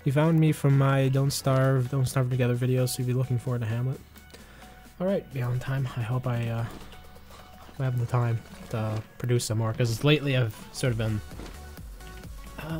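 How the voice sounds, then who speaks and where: A man streaming a video game while getting over a cold, his voice sitting at an ordinary middle pitch. He chats loosely with a viewer and talks himself through each move as he plays. Faint game music plays quietly behind him. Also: fairly even intonation, normal speed. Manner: chatty, thinks aloud while playing. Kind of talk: casual livestream chat while playing.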